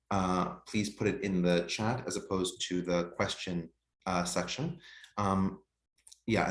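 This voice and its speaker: A man giving an online history lecture, explaining in a measured way to his class. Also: deep voice, roomy sound.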